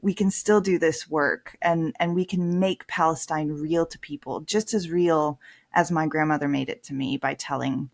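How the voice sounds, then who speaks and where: A woman in her thirties, a priest and author, speaking candidly in an interview and swinging from soft reflection to emphatic stress. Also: sits low, steady tone, normal speed, creaky.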